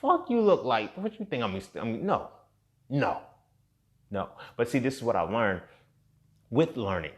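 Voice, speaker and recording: A man's voice: mid-range voice, big pitch swings, measured pace, pauses often. A thirty-year-old man giving a casual, unscripted podcast monologue in a blunt, slangy, heavily profane and emphatic way. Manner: emphatic, with rhetorical questions and check-ins. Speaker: podcast host and entrepreneur.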